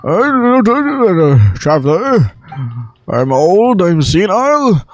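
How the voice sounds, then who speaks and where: A woman voicing a feeble, senile old man in a halting, doddering way for game dialogue. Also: mid-range voice, big pitch swings, lo-fi sound. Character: feeble, senile old widower greeting a traveler.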